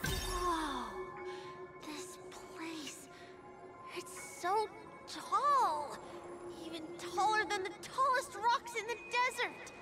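A woman's voice: extremely high pitch, expressive, loud music behind the voice, heavily acted. A woman voicing an innocent, wide-eyed small child in a video game, full of wonder. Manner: wondering, slow, breathless awe.

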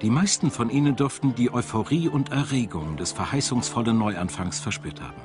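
Expressive male documentary narrator. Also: mid-range voice, brisk. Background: background music.

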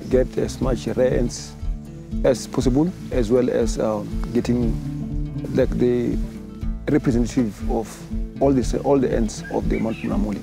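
A South African man in his thirties, an ant researcher giving a halting documentary interview outdoors in a forest on a lapel mic. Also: mid-range voice, steady tone, creaky, music in the background. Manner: halting, with fillers.

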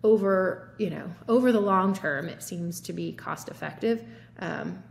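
A woman in her thirties, a physician, explaining treatment options in a relaxed way with many fillers, recorded over a video call from a home office. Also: on the low side, measured pace, vocal fry. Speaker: physician guest. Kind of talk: conversational medical discussion. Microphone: laptop or webcam mic on video call. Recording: roomy video call audio with faint noise.

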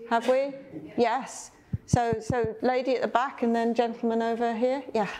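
A woman's voice: a little high, normal speed. Chatty woman chairing a panel. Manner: lively, chatty, laughs easily. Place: university event room with audience.

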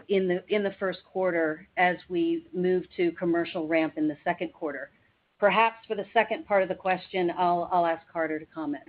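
A woman company executive giving formal, measured, somewhat halting answers on an earnings call. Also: fairly low pitch. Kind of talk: formal business question-and-answer. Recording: tinny narrow phone line.

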